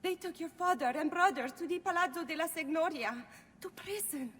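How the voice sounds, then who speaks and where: Shaken, high-voiced maidservant. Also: female voice, fairly quick, fairly bright tone, in a large hall. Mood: shaken, fearful. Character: frightened, deferential young Italian maidservant. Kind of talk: scripted video game acting.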